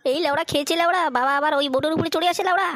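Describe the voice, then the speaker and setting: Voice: sits high, rapid-fire, fairly bright tone, no echo, clean studio sound. A performer voicing a cheeky, nervous tattletale son in an amateur comic cartoon, stammering and cursing. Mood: scandalised, sneaky. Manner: stammering, foul-mouthed. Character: cheeky tattletale son, nervous around his scolding mother.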